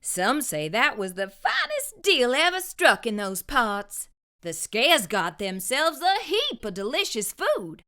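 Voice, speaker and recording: A woman hosting a story time and reading a picture book aloud, expressive and sing-song. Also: a little high. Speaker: storyteller host.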